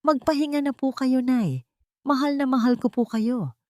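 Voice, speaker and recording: A woman's voice: high-pitched, moderate pace, dark tone, no echo, studio quality, voice pushed up, close to an everyday voice. A woman voicing a humble, pleading housemaid and devoted daughter in an audiobook drama. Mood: holding back tears. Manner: meek, pleading.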